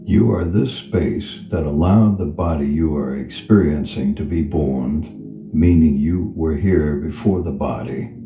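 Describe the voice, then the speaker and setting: Male meditation guide, very low register, slow soothing delivery with long pauses, roomy reverb. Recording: reverberant voice over clear background music. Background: background music.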